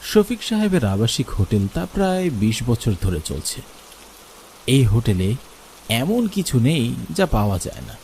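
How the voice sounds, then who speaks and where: A man's voice: mid-range voice, big pitch swings, unhurried, darkish tone, slight background noise. A man narrating a scripted horror story for a cartoon.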